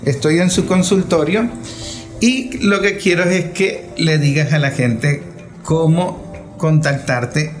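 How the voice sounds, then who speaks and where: Higher-pitched middle-aged Venezuelan man presenting. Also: slow pace, over music. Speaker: journalist interviewer. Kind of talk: personal testimonial to audience. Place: therapy consulting room.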